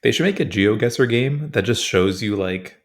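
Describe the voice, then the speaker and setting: A young man with a very deep voice co-hosts a comedy podcast. He talks in a laid-back, easygoing way, full of fillers and frequent laughter. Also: animated, moderate pace. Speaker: podcast co-host. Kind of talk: casual comedic chat.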